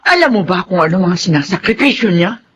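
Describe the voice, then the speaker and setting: A woman's voice: lowish voice, sing-song, fairly quick, a little hiss or hum. An actress playing a firm, reproving mother and employer with a Filipino accent in a radio drama. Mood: scolding. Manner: authoritative, reproving. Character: firm employer mother scolding her spoiled child.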